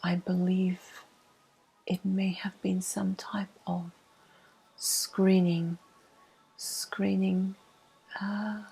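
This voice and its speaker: A woman telling a personal story in a soft-spoken ASMR style, hushed and unhurried, with a breathy, husky voice that often drops into a whisper. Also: mid-range voice, flat delivery, poor audio.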